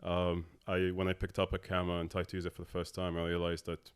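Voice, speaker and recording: A man in his thirties from Abu Dhabi, a tech co-founder talking as a podcast guest in a halting way with many filler sounds. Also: low voice, completely flat delivery, clean tone. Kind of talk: casual interview talk.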